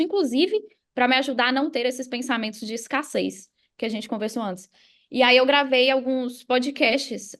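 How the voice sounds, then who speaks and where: A Brazilian woman speaking as a podcast guest and coach, explaining things in a casual conversational way. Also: on the high side. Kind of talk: casual explanatory interview talk.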